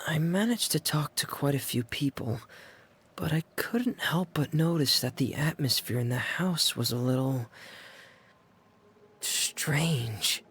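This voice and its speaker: A man's voice: a little high, expressive, takes it slowly, a bit bright, slight background noise. A shy, hesitant young man. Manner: hesitant, apologetic.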